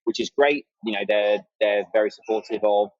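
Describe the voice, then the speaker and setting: A man's voice: on the low side, fairly even intonation, moderate pace, a little mellow, no echo, highs cut off. A man chatting casually and enthusiastically as a guest on a podcast. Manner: chatty and enthusiastic, acts out remembered exchanges. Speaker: interview guest, amateur runner and content creator.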